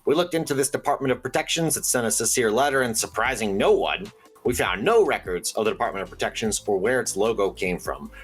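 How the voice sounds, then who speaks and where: An actor playing an eccentric, conspiracy-minded late-night radio host in an audio drama, theatrical, folksy and rambling. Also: male voice, fairly low pitch, lively intonation, fast talker, breathy edge, faint music behind. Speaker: radio call-in show host.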